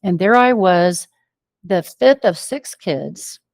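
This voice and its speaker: A woman's voice: on the low side, animated, takes it slowly, creaky, darkish tone, dry recording. An American woman being interviewed, reflecting on personal experiences and thinking aloud in loose, run-on sentences. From United States, Venezuela and Argentina. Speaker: interview guest.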